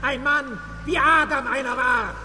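An actor playing a fiery male preacher at a funeral, booming out a drawn-out, accusing sermon in a radio drama. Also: heavily acted. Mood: preaching. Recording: echoey hall with loud music.

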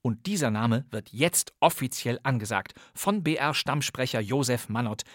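A man introducing an old crime radio play from a script, wry and chatty, with playful asides for his listeners. His mid-pitched voice sounds close and completely dry, recorded on a studio mic without any room sound. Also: animated, unhurried, a bit bright, no echo. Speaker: podcast host. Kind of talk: scripted presentation.

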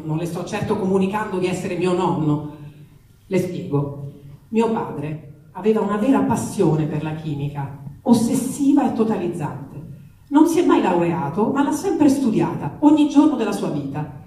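A woman's voice: on the low side, dark tone. Echoey, distant woman reading expressively. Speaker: reader of comic letters. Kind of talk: expressive dramatic reading of a letter. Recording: echoey room, distant mic, faint noise.

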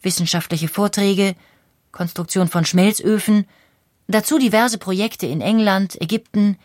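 A woman's voice: medium pitch, unhurried, a little mellow, dry recording, clean studio sound. A woman narrating a historical audio documentary from a script in a factual, articulate manner.

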